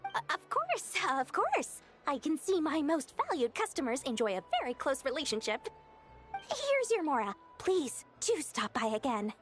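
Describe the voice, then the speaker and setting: A woman voicing a greedy, flattering young female merchant in an anime-style video game, salesy and theatrically courteous. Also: sits high, moderate pace, soft background music, full character voice. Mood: eager, ingratiating.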